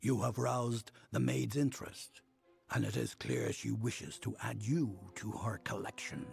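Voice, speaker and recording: A man acting a solemn, cautious fae storyteller in a video game, speaking in a grave, measured, formal way. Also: medium pitch, fairly even intonation, vocal fry, faint music behind. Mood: grave worry.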